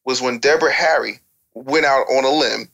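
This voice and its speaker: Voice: mid-range voice, normal speed, vocal fry, a bit bright, lo-fi sound. A panelist in an opinionated music-industry discussion, speaking emphatically like a lecturer and throwing out rhetorical questions. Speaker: talk show panelist.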